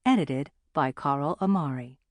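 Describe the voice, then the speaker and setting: A woman's voice: sits low, a little slow, dry recording, studio quality. A woman announcer reading scripted audiobook titles and credits in a formal presenting style.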